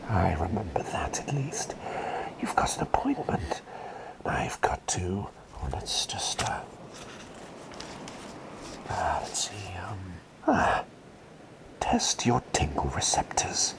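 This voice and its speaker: A man acts out a gentle, absent-minded male plague doctor for an ASMR roleplay. He whispers slowly, drifting up and down in a very animated way. His manner is polite and rambling, softly repeating himself. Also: deep voice, exaggerated voice, rough recording. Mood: gentle, whimsical. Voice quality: breathy whisper. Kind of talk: soft-spoken ASMR roleplay.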